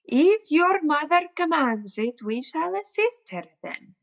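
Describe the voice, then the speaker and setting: A grand, scheming psychic madam , played by a woman, talks in a high voice at a slow, measured pace. She is formal and imperious, in the manner of old radio crime melodrama. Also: big pitch swings, vocal fry, full character voice. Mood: dazed, grand.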